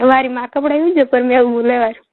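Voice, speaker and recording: A woman's voice: fairly even intonation, fast, a little hiss or hum. A woman with a Rajasthani Marwari accent chatting casually on the phone in short, questioning replies. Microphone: telephone. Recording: muffled low-fidelity phone call recording.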